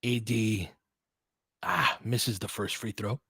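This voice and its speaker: A man's voice: on the low side, slow pace, vocal fry, sharp tone, slightly rough, no echo. American man calling basketball casually, dry sound. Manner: informal, chatty asides between clipped play calls. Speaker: fan host doing play-by-play commentary. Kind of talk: casual live basketball commentary.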